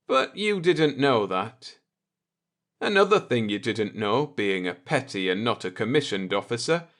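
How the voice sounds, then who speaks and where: A man voicing a coolly vindictive spaceship navigator in an audiobook, deliberate and sardonic as he explains. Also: on the high side, a bit bright.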